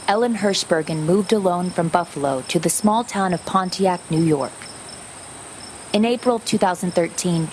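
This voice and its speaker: A low female voice narrates a true-crime audio documentary performed by an actress. The delivery is measured, sober and factual, with faint noise behind it. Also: darkish tone, lightly acted, low-quality recording. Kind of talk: scripted narration.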